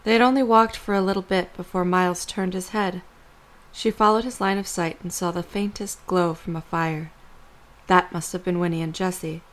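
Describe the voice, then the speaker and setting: A woman's voice: fairly low pitch, steady tone, moderate pace, low-quality recording. A woman reading scripted story narration as the narrator of an audio drama.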